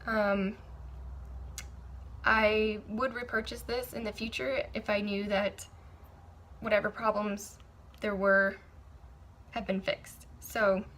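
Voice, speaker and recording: A young woman with a raspy, sore throat, chatting candidly through a makeup review with frequent ums and restarts. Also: fairly high pitch, bright, forceful tone, a little hiss or hum. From Maryland, USA. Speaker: beauty vlogger. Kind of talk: casual product review.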